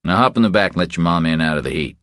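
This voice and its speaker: A man voicing a calm, kindly, laconic hero in a thriller audiobook. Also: deep voice, very fast, smooth, no echo, clean studio sound. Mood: kindly, encouraging.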